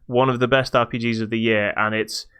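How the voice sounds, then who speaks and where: A man's voice: mid-range voice, level tone, fairly quick, fairly bright tone, clear, resonant tone. A British man hosting a podcast, casually reviewing a video game in a hesitant, stop-start way.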